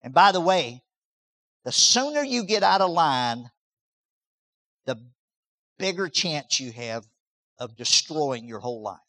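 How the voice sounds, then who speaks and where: A man in his late sixties preaches with a high, bright voice. He stresses points by repeating words and climbing into loud bursts. His tone is sharp and forceful. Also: very animated, slow pace, long pauses. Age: 60s. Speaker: pastor. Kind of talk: sermon.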